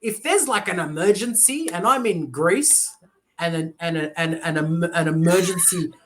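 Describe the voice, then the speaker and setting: A man's voice: on the high side, normal speed, a bit bright. A man tutoring English online, explaining patiently with personal stories in a casual conversation lesson. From British. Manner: easygoing, explains with personal stories and acted quotes.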